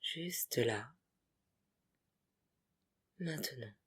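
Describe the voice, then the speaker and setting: Casting: meditation guide, mid-pitched voice, breathy and hushed, soothing short phrases for deep relaxation. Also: lively intonation, extremely slow. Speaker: yoga nidra guide.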